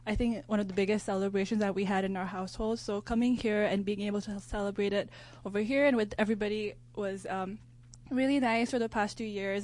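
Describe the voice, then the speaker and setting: A woman's voice: mid-range voice, darkish tone. A young woman from Nepal, a university student, introducing herself to a gathering in an earnest way. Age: young adult. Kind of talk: casual public introduction.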